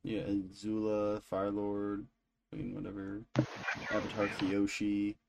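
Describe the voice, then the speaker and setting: A man's voice: fairly low pitch, monotone, measured pace, creaky, background noise. A man co-hosting a podcast, chatting casually and irreverently about entertainment news, swearing freely. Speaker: podcast co-host.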